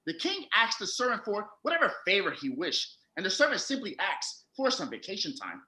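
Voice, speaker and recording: A wry fairytale narrator, played by a performer, tells the story in a bright, lively voice. The telling is patient and storybook-like, with noticeable room echo. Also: mid-range voice, fairly quick. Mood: storytelling. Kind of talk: storybook narration in comic audio drama.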